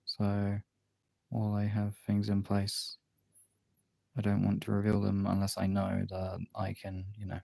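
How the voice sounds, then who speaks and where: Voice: deep voice, monotone, a little slow, vocal fry, dark tone, no echo. A livestreaming voice actor chatting casually and in a rambling way with viewers.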